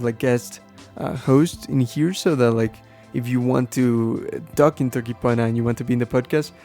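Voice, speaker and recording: Mellow-voiced man, native Spanish speaker, hosting casually. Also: medium pitch. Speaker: podcast host. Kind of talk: casual unscripted explaining to listeners.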